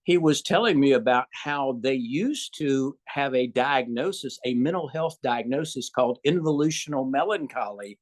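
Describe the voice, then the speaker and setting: An older man with a Southern US accent explains things as a guest doctor. His voice sits at an ordinary middle pitch, and he speaks in a careful, measured way, pausing on fillers and restarting his sentences. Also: expressive. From Southern United States. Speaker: physician guest. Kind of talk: conversational interview answers.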